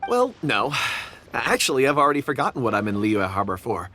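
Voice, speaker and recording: A man voicing an affable, courteous and enthusiastic gentleman rock expert in a video game, eloquent and slightly theatrical. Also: mid-range voice, very animated, fairly quick. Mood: musing, eloquent. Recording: music behind the voice.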